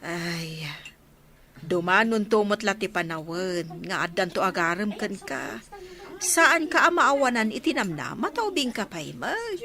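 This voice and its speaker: A woman playing a philosophical, gently probing village confidante in an Ilocano radio drama, with an Ilocano accent. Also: medium pitch, sing-song, a little slow, full character voice. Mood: philosophical, consoling.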